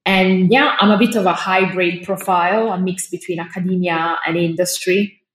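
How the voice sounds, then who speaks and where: Woman, Italian accent, lowish voice, enthusiastic, chatty, roomy echo. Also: steady tone, moderate pace. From Italy. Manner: chatty, enthusiastic, many filler uhs. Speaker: guest, fashion business lecturer. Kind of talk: podcast interview. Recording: roomy with faint noise.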